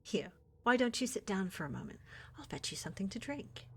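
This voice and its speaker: A woman playing a flirty, scheming witch in a fantasy ASMR roleplay, with a breathy, teasing, coaxing delivery that often drops to whispers. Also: mid-range voice, very animated, brisk, slight background noise. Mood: soothing, coaxing. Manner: teasing, coaxing, sugary endearments with theatrical menace. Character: flirty, scheming witch who runs a potion shop. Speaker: voice actor in audio roleplay.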